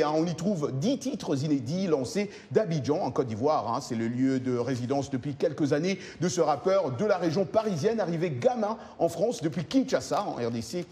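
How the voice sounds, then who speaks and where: A fairly high-voiced man delivers a weekly culture report for a news broadcast. He talks in a loose, chatty way, stumbling over fillers, and a long reverb trails his voice. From Congo. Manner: lively, chatty, many fillers. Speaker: culture correspondent.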